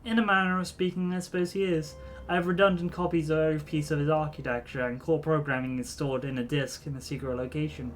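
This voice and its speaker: A man voicing a weary middle-aged male drone engineer, reading game dialogue aloud in a measured, formal, slightly halting way. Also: fairly high pitch, steady tone, a little hiss or hum, natural voice.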